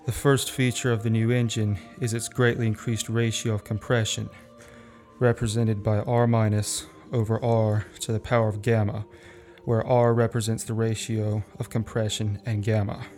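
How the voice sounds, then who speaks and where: A man's voice: lowish voice, little pitch movement, normal speed, darkish tone, faint music behind, close to an everyday voice. A man in a radio play acting an earnest, deferential dying memory-act performer who recites by rote. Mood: reciting. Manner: recites technical details by rote.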